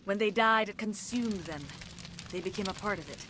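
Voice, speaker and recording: Woman voicing female alien commando, video game, solemn, formal measured delivery, mid pitch, clear background noise. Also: pushed and bright, natural voice. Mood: grave, subdued. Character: solemn female alien commando, former thrall of an ancient plant creature. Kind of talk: scripted video game acting.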